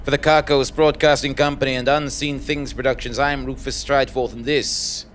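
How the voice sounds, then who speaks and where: A man's voice: medium pitch, flat delivery, moderate pace, a bit bright, a little hiss or hum, lightly acted. A man playing an earnest, self-important investigative journalist, narrating a podcast parody on stage in a pompous, dramatic way. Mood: grandly earnest. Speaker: narrator and lead actor. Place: small theatre stage, live show.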